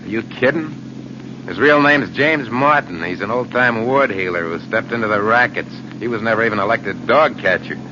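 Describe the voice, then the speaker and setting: An actor playing a sullen, slow-witted male gangster's errand boy in a 1940s radio drama. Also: lowish voice, close to an everyday voice. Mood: amused, scornful. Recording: muffled, noisy 1940s radio recording.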